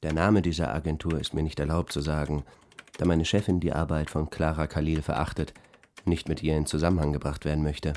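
A man's voice: very deep voice, level tone, fairly quick, darkish tone, a little hiss or hum. An actor playing an earnest, jaded advertising man speaking solemnly and emphatically in a radio drama interview. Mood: solemn, reverent.

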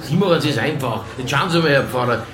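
An actor playing a world-weary, irreverent police inspector with a Bavarian accent, musing colloquially in a radio crime drama. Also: male voice, medium pitch, fast, echoey room, music in the background, lightly acted.

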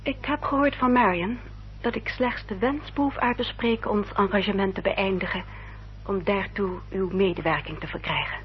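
A woman acting an earnest, dutiful young upper-class lady in a radio drama, speaking formally and with careful, measured diction. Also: medium pitch, close to an everyday voice. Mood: solemn, composed. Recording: dull, noisy old radio recording with hum.

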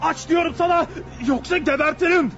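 A man's voice: extremely high pitch, fairly quick, a bit bright, dull audio, some chatter behind. An actor playing a desperate, wounded male outlaw in a radio play, barking threats and orders. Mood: menacing. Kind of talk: Turkish radio theatre acting.

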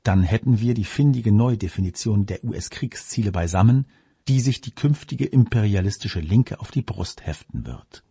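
A man reads scripted commentary in a measured, formal way. His voice is low and dark, with a husky grain. The sound is dry and close. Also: expressive, dry recording. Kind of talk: scripted political commentary, read aloud.

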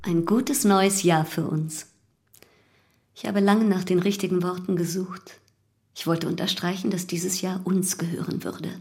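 An actress playing a calm, unashamed young widow in love, in a German radio drama. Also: female voice, on the low side, measured pace. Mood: tender, hushed. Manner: composed, unashamed.

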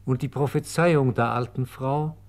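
A man narrating a scripted radio biography in a sober, measured, documentary-like manner. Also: medium pitch, fairly even intonation, a little mellow.

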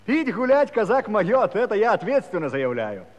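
A high-voiced, garrulous old Cossack. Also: male voice, big pitch swings, normal speed, soft background music. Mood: self-important. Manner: folksy, pompous mock-official turns of phrase. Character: garrulous old Cossack villager telling his tale. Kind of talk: comic storytelling in a musical radio play. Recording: dull, noisy old 1970s recording.

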